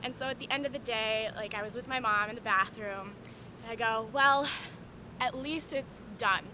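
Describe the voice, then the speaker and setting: A woman telling a funny personal story in an animated way. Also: on the high side, normal speed, background noise. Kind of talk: personal interview recollection.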